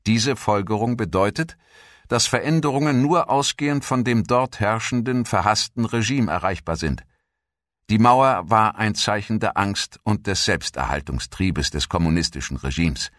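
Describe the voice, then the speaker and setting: A man with a low voice narrates a scripted documentary. His delivery is formal and measured, like prepared text read aloud. The sound is dry, with very little room around the voice. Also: lively intonation, fairly bright tone, no room sound.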